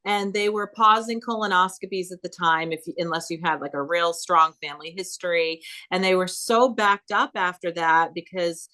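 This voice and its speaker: Woman, 50s, slightly low voice, earnest personal storytelling, public meeting guest. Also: steady tone, moderate pace, hardly any pauses, bright, forceful tone. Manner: earnest, storytelling, quotes others in her own voice. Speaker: guest speaker, cancer survivor.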